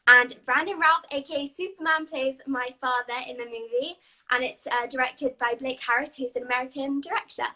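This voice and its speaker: A woman's voice: high-pitched, fairly even intonation, a little fast, sharp tone, poor audio. A young British girl actress, bubbly and eager, answering questions in a live video interview. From England. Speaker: guest actress.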